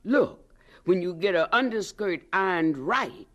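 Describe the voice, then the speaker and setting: An actress playing a bossy, proud older aunt from the American South, folksy and scolding, in a radio drama. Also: female voice, lowish voice, expressive, measured pace, a bit bright. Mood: brisk, instructive.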